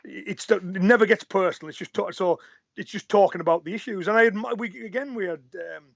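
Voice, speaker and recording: A man's voice: high voice, fairly quick, gravelly, no room sound. A man and karate instructor answering interview questions in earnest, often repeating words as he thinks. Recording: muffled lo-fi audio.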